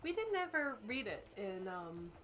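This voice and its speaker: A woman's voice: mid-range voice, big pitch swings, unhurried, fairly bright tone. A woman co-hosting a casual comedy stream, bantering and critiquing in a sardonic, mock-exasperated way. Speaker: guest co-host. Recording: background music behind the voice. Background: music.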